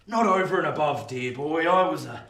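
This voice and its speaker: Rough, bright-voiced ex-convict. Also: male voice, fairly high pitch, expressive, fairly quick. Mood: fond, proud. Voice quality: rustic, ungrammatical speech. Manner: proud, fond, blustering. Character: rough, devoted older male ex-convict returned from colonies. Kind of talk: radio play acting. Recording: roomy, echoing sound.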